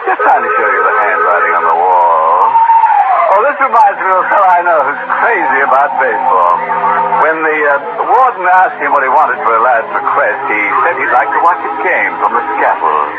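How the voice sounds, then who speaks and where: A man's voice: medium pitch, very animated, moderate pace. A man hosting a 1940s radio mystery show with scripted, wry and macabre joking. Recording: noisy, narrow-band old radio recording.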